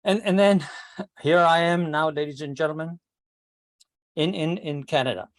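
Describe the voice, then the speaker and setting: Older man from Kenya, lively speech. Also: fairly high pitch, moderate pace. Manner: animated storyteller, addresses "ladies and gentlemen", rhetorical questions. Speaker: club member giving a speech. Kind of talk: prepared speech at a public speaking club. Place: online club meeting. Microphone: video call.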